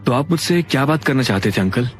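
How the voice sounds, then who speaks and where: A man's voice: mid-range voice, lively intonation, fast, soft background music, natural voice. A man voicing a brooding, suspicious young hero in an audio drama, intense and questioning. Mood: polite.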